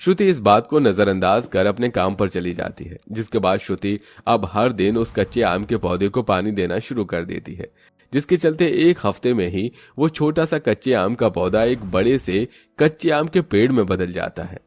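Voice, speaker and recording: A man's voice: on the low side, expressive, normal speed, darkish tone, no room sound. A man narrating a scripted moral story as its storyteller.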